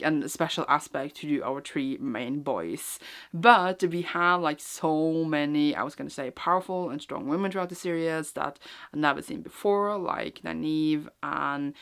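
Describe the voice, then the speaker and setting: A woman's voice: low-pitched, a bit bright, clear, resonant tone. A young woman talking casually as a book vlogger, informal and gushing, rambling and correcting herself as she goes. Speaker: book vlogger and readalong host. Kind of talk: casual, chatty vlog talk.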